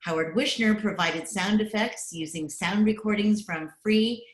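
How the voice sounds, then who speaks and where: A woman's voice: mid-range voice, measured pace. A woman announcer reading scripted radio credits, recorded at home in a roomy, echoey space.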